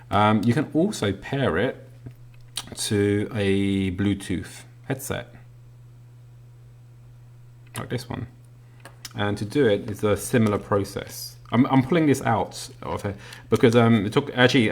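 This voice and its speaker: A man gives a casual hands-on product walkthrough. His voice sits very low and has a noticeable vocal fry. He explains things in a somewhat halting, unscripted way. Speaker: product reviewer. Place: indoors at a work desk.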